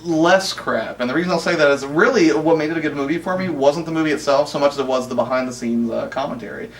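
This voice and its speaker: A man's voice: a little high, quick pace. A man co-hosting a video game show, chatting casually about games and movies. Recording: distant mic, faint background noise.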